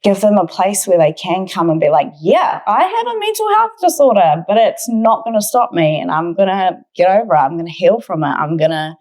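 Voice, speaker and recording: Podcast guest: New Zealand woman, twenties, slightly low register, earnest, conversational personal storytelling. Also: sing-song, brisk, few pauses, a little mellow. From Auckland, New Zealand. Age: 20s.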